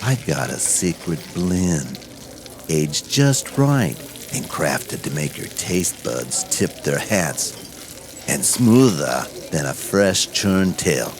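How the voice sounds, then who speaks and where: A man voicing an excitable male diner cook who is loud and jokey, pitching food in a whooping, folksy way. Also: full character voice.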